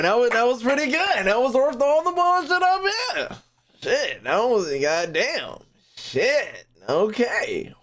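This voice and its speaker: A man's voice: high-pitched, fairly bright tone. A man streaming in a casual, slangy way, swearing freely and repeating phrases as he reacts to game lore. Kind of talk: casual live reaction chat.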